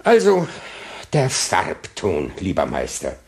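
A man's voice: sits low, wildly swooping pitch, slow pace, heavily acted. A man playing a fussy, pompous, demanding customer who talks in stilted roundabout phrases in a German children's radio play. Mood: fault-finding.

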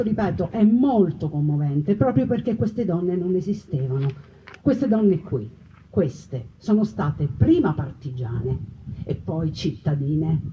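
A woman performing a narrative monologue as a storyteller, with emphatic, rhetorical repetition and wry irony. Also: mid-range voice. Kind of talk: theatrical narrative monologue on wartime history. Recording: muffled, distant mic with background noise.

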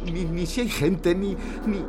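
An actor playing a distraught, suspicious, wounded husband in a Spanish radio drama, agitated and demanding, with a Castilian accent. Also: male voice, sits high, unhurried, background noise. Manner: agitated, stammering repetitions, demanding.

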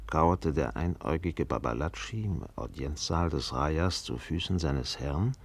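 An actor playing a grave storyteller, narrating a radio drama in a measured, literary manner. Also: male voice, very deep voice, expressive, vocal fry, exaggerated voice. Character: grave male storyteller of a colonial tale.

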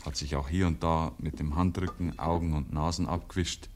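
An actor as a homely, wry village storyteller, narrating a 1950s radio play with a Bavarian accent. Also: male voice, very deep voice, fairly even intonation, normal speed, slight background noise. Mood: unhurried, descriptive.

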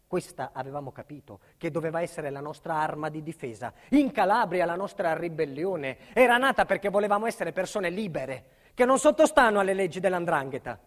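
An emphatic, high-voiced man. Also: animated, a little fast, a bit bright. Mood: grave narration. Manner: emphatic, insistent, repeats himself. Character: indignant family man, witness under state protection. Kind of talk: dramatic stage monologue with acted dialogue.

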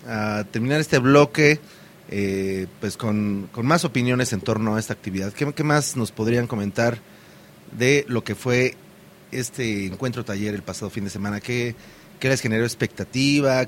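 A man's voice: mid-range voice, normal speed, slight background noise. A man hosting a community radio program, talking in a loose, hesitant way with many fillers and restarts.

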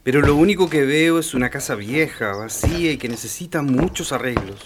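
An actor playing an impatient, exasperated husband in a radio drama, speaking in an insistent, reproachful way. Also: male voice, medium pitch, fairly quick, natural voice. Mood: weary, insistent. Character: impatient, exasperated husband, weary of family ghosts. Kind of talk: Spanish-language radio drama acting. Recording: noisy, clicky recording.

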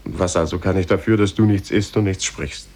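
Deep, husky, concerned young cousin. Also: male voice, fairly even intonation, brisk, darkish tone. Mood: defensive, puzzled. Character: concerned, puzzled male cousin and confidant. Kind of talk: 1950s German radio drama acting.

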